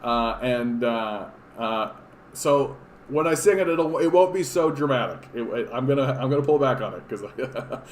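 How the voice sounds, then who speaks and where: Male livestream host, mid pitch, rambling and sarcastic, echoey home room. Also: lively intonation, moderate pace, slight background noise. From Canada. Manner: rambling, frequent fillers and restarts, sarcastic. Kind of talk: casual live-stream chat reading comments.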